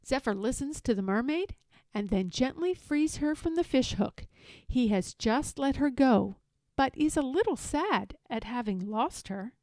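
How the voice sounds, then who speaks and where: A woman reads a children's storybook aloud in a fairly high voice with very lively swings of pitch. She is theatrical and expressive, recorded close and dry. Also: measured pace, dry recording. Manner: animated, theatrical. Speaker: storybook reader.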